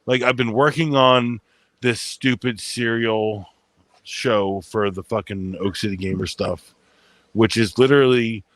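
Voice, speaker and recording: A man's voice: fairly low pitch, dry recording. Rambling man hosting a casual chat. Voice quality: soothing voice. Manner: rambling, filler-heavy, swearing freely. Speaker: channel host.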